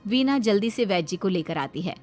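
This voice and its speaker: A woman's voice: medium pitch, lively intonation, brisk, darkish tone, soft background music. A woman giving scripted narration for a Hindi cartoon story.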